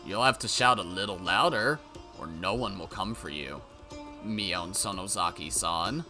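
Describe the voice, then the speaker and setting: A man's voice: low voice, a little slow, over music, voice pushed down. A man voicing an unhinged male freelance writer in a visual novel, theatrical and volatile. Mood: smug, menacing. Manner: shouting, wailing, taunting, theatrical. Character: unhinged male freelance writer, shifting from panic to cold menace.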